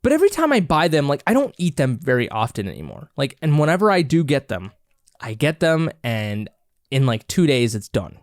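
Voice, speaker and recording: A man's voice: fairly high pitch, wildly swooping pitch, brisk, dry recording, studio quality. A congested man hosting a podcast, chatting casually and rambling with many restarts while he snacks. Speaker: podcast host. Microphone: own camera and mic, close and dry.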